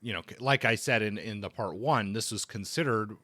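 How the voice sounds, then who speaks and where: A man co-hosting a podcast, casually discussing books in a halting way, often rephrasing as he thinks aloud. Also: lowish voice, a bit bright, no room sound.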